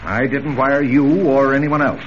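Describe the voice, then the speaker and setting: An actor playing an irascible, sarcastic middle-aged newspaper editor in blustering 1940s radio style. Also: male voice, mid-range voice, fairly even intonation, unhurried, natural voice. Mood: impatient. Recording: noisy, muffled old radio recording.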